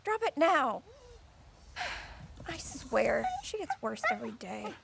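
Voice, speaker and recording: A breezy female dog owner in an audio drama. Her high voice swings animatedly and has some creak, with a chatty, exasperated manner. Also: big pitch swings, slow, noisy background, heavily acted.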